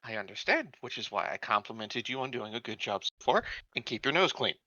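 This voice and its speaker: A man's voice: mid-range voice, very animated, brisk, bright, forceful tone, no room sound, muffled recording. A man talking casually as a player in a tabletop roleplaying game.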